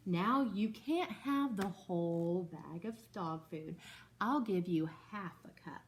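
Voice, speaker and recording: A woman's voice: lowish voice, slow pace. A woman teacher reading a picture book aloud to her second-grade class and stopping to explain it patiently.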